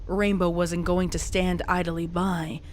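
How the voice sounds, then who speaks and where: A woman's voice: on the low side, flat delivery, measured pace, a little hiss or hum. A woman reading scripted, dramatic story narration as the narrator of a fan-fiction tale. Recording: music behind the narration. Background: music.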